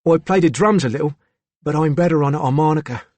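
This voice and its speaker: Man as an impish Cockney boy, raised pitch. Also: quick pace, darkish tone, no echo, natural voice. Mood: open, cheerful. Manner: crisp, childlike, impish. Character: open, earnest thirteen-year-old English boy, impish.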